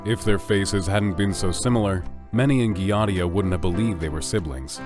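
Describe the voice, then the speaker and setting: A man's voice: deep voice, normal speed. A man reading scripted narration for an audio drama as its narrator. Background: music.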